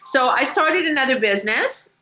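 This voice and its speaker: A woman being interviewed, telling her story in an animated, chatty way. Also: sits high, moderate pace, a little hiss or hum. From Canada. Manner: animated, chatty, with frequent restarts and laughs. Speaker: guest, matchmaker.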